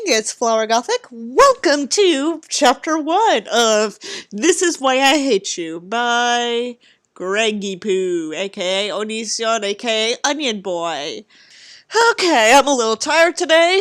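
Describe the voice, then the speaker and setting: A woman's voice: fairly high pitch, a bit bright, dry recording, clean studio sound. A woman hosting with casual, sarcastic commentary on a book she is reading. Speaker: video host.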